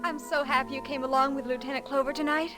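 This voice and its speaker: A woman's voice: sits high, fairly even intonation, fast, loud music behind the voice, lightly acted. An actress playing a sweet, nervous young small-town woman in old radio drama style, polite and hesitant. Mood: tender. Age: young adult. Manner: breathlessly hesitant, courteous. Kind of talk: 1940s-50s radio drama acting.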